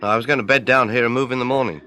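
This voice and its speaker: An actor playing a chastened, practical British survivor in a radio drama, frank and reflective. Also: male voice, medium pitch, steady tone, rapid-fire, pushed and bright, slight background noise, close to an everyday voice. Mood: rueful.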